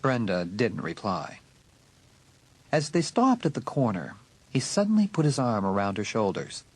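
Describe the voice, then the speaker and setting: A man narrating a horror audiobook in a dramatic, suspenseful way with deliberate emphasis. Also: on the low side, huge pitch swings, a little mellow, breathy edge.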